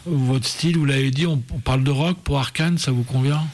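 A man's voice: medium pitch, a little fast. A man hosting a radio music show in the studio, interviewing a band with many hesitations and fillers.

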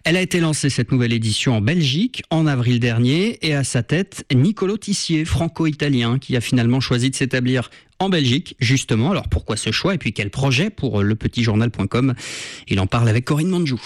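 A male radio host introducing segments in a relaxed way, with light jokes. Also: mid-range voice, a little fast, no echo. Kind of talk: radio presenting links.

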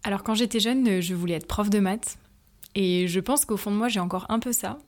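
A woman's voice: mid-range voice, brisk. A woman in her twenties, from France, answering interview questions about her work with many hesitant fillers and careful explanations. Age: 20s. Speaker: interview guest, factory team manager.

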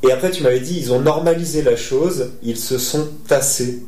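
A man with a middling pitch who is interviewing a student and calmly reading back his notes. His voice sounds roomy and a little distant, with faint room ambience behind him. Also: moderate pace, a little hiss or hum.

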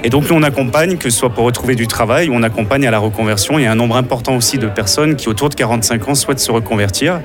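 Fast-talking male organization director. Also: medium pitch. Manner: fluent, explanatory, assured. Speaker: director of a job-support organization. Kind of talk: explaining in a radio interview. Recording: music playing underneath.

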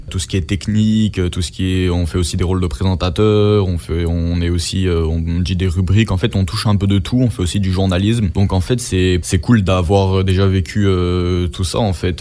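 A man's voice: low voice, darkish tone. A seventeen-year-old boy giving a rambling radio testimony as a trainee, full of fillers. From France.

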